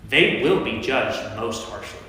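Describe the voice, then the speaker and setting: A man's voice: medium pitch, expressive, measured pace, sharp tone. Commanding teacher in an echoing church, acted by a man. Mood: scornful warning. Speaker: lay performer in a church staged reading. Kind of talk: staged scripture reading. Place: large reverberant church. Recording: echoey church, distant mic, faint noise.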